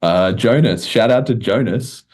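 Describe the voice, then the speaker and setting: A man's voice: on the low side, measured pace, a little mellow. A man hosting a podcast, explaining things in a casual, informal way with the odd swear word. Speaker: podcast host.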